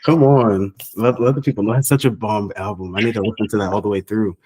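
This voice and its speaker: A man in his thirties, raised in Southern California, chatting in an upbeat, lively way and laughing often. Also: fairly low pitch, fast, slightly rough. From Costa Rica, raised in Southern California. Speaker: podcast guest and consultant. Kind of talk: casual chat.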